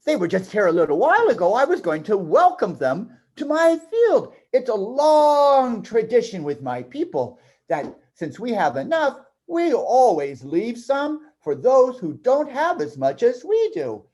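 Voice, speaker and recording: A man's voice: very high voice, sing-song, unhurried, hardly any pauses, darkish tone, exaggerated voice. A man voicing a kindly, welcoming farm owner in a puppet show, with a reassuring manner.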